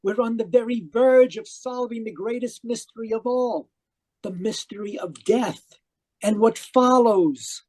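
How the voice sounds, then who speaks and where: High-voiced, desperate zealot played by a man. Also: a little slow, a little mellow. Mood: fervent pleading. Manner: fervent, pleading, intense. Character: obsessed, desperate zealot younger brother turned killer. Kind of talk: old-time radio mystery acting, dramatic narration. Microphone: home microphone on a video call.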